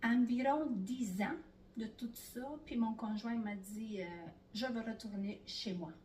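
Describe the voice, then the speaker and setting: A woman's voice: a little high, a little slow, a little mellow, roomy sound, recorded from a distance, slight background noise. A middle-aged Italian woman speaking French with an Italian accent as an interview guest, talking casually and hesitantly with many fillers. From Italy.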